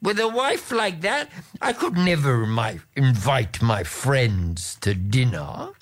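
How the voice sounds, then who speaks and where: A storyteller voicing a fussy, pompous nobleman who is obsessed with etiquette and speaks in a high, affected way. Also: big pitch swings, takes it slowly.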